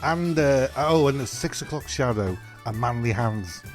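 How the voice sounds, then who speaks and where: A mid-pitched male voice acting out a preening, self-important castaway. He is pushy and excitable, keen to sound manly, in a comedy audio drama. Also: unhurried, soft background music, natural voice. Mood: eager, vain. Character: vain, eager, preening male castaway wanting manliness.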